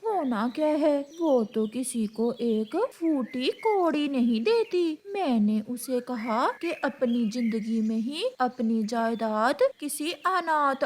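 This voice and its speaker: A woman's voice: high voice, a little hiss or hum. A woman voicing a nagging, gossipy older mother crow in a cartoon story, in a scolding, weary manner. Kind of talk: Hindi cartoon story dialogue.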